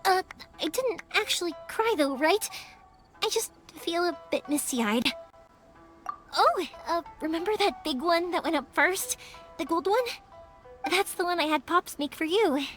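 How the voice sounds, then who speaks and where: An actress voicing a cheerful, earnest young woman who makes fireworks in a video game, expressive and heartfelt, with game music behind her. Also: very high voice, unhurried, full character voice. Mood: excited.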